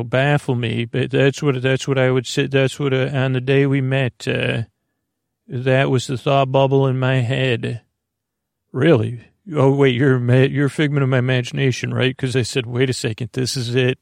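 A man hosting a sleep podcast with a meandering, halting bedtime monologue, often trailing off and repeating himself. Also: mid-range voice, no room sound, clean studio sound. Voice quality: creaky.

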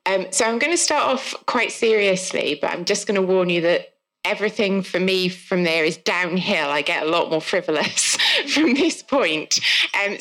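A woman from South Wales with a Welsh accent, a guest author chatting at a live podcast recording, self-deprecating and quick to laugh. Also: medium pitch, fairly bright tone.

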